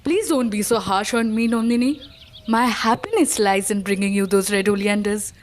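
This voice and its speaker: A woman's voice: medium pitch, moderate pace, a little hiss or hum, close to an everyday voice. A woman playing a devoted, melancholy gold digger in an audio play, speaking in a tender, earnest, poetic way. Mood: weary pleading.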